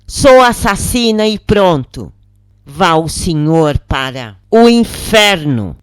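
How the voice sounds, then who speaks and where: An anguished young woman in prison as a narrator acts her out speaks in a blunt, defiant way. Her voice is raised in pitch and slow, with heavy emphasis, as in a dramatic audio story. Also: big pitch swings, smooth, no room sound. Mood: defiant outburst. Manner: emphatic, blunt, self-accusing.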